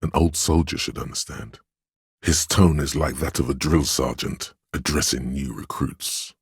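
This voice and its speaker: An actor playing a stern, commanding inner voice of police authority in a video game, giving imperious, clipped orders. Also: male voice, a bass voice, a little slow, creaky, breathy edge, no room sound, clean studio sound, full character voice. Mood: solemn, proud. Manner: imperious, clipped orders, addressing listener as recruit. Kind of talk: video game character narration.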